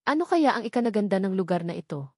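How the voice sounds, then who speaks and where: A woman's voice: medium pitch, big pitch swings, fast, husky, no room sound, clean studio sound. A woman with a Filipino accent reading a first-person romance novel as a scripted audiobook narration.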